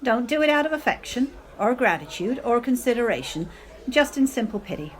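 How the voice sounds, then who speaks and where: A woman's voice: a little high, animated, fairly quick, close to an everyday voice. A woman acting a melodramatic, self-pitying, clinging middle-aged mother in a radio play, with theatrical, affected speech. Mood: wounded, pleading. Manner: theatrical, affected, sprinkles in foreign phrases.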